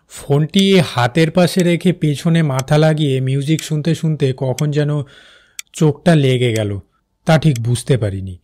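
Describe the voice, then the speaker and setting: Man as a high-voiced, uneasy night-shift storyteller. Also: a little mellow, a little hiss or hum. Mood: calm recounting. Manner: suspenseful, with fearful repeated phrases. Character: Shyamal, uneasy male night-shift railway worker recounting a haunting. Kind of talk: first-person dramatic horror story narration.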